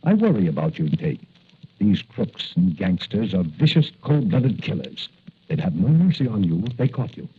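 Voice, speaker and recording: An actor playing a worried, kindly older doctor in a measured, hesitant 1940s radio style. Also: male voice, low-pitched, big pitch swings, exaggerated voice. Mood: concerned, cautioning. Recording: muffled, husky-sounding old radio recording.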